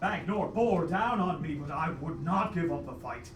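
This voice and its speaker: A man's voice: a little high, animated, normal speed, loud music behind the voice, lightly acted. A man playing a heroic adventurer who narrates his dragon quest as a solemn, melodramatic old-time radio play. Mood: strained, desperate. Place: live theatre stage with audience. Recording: echoey live hall, distant mic, noisy.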